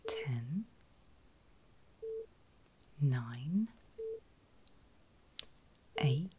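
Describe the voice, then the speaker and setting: Slow, breathy whispering ASMR woman. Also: low voice, very animated, warm tone. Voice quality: breathy, mostly unvoiced whisper. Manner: soothing, reassuring, slow and deliberate. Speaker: ASMR performer playing a surgical nurse. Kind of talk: whispered ASMR medical roleplay.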